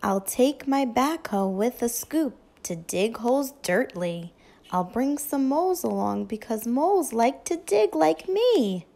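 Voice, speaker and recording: Slow, animated woman reading a picture book. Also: a little high, vocal fry. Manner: deliberate, child-directed storytelling. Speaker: teacher reading aloud to children. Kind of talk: expressive picture book read-aloud.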